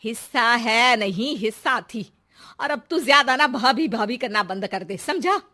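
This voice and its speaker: Woman playing a stern, sharp-voiced mother-in-law. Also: fairly high pitch, expressive, a little slow, exaggerated voice. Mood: scolding. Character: stern, disapproving middle-aged mother-in-law.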